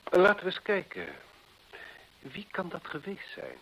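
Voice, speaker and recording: An actor playing a sharp, dryly superior male detective in a radio play, speaking formally and probingly with teasing dryness. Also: high-pitched, huge pitch swings, unhurried, gravelly, slight background noise, full character voice. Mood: stern, incisive. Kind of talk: Dutch radio drama acting. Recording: muffled vintage radio play recording.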